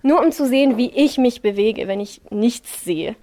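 French-speaking actress, hesitant German. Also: female voice, fairly high pitch, moderate pace, warm tone. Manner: hesitant, searching for words. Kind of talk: interview.

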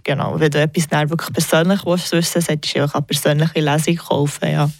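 A young woman with a slightly gravelly, fry-tinged voice talks in an easy, chatty way, pausing often to gather her thoughts. Her first language is English. The sound is close and dry, with no room around it. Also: low voice, dry recording. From Switzerland. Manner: relaxed, chatty, frequent hesitations and laughs. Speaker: tarot reader. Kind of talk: casual interview answers.

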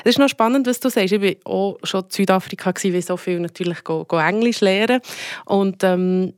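A woman with a Swiss German accent, hosting a casual interview. She is chatty and keeps up quick follow-up questions. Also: medium pitch, no echo, clean studio sound. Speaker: radio show host.

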